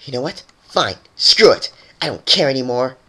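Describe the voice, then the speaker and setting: A performer voicing a grumpy, menacing female cartoon pony, curt and irritated, sometimes flatly bored. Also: medium pitch, moderate pace, husky. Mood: frustrated. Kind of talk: cartoon character voice in fan fiction reading.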